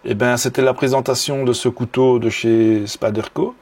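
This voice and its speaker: A man's voice: mid-range voice, fairly even intonation, moderate pace, darkish tone, no room sound. A man from Belgium with a Belgian French accent, showing off a knife in a casual video review, full of fillers and restarts and admiring enthusiasm. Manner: hesitant, many fillers and restarts. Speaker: knife hobbyist vlogger.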